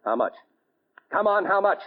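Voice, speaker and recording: An actor playing a menacing small-time crook with an American accent, tough and slangy, in 1940s radio drama style. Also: male voice, high voice, sing-song, normal speed. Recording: muffled tinny 1940 radio broadcast.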